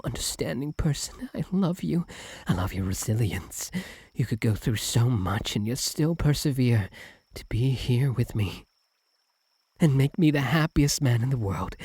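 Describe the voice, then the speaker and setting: A man voicing a doting, possessive fox-spirit husband in an intimate ASMR roleplay, soft and breathy, often slipping into whispers, tender and clingy. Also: mid-range voice, no echo. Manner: tender, clingy, hesitant, lingering on words.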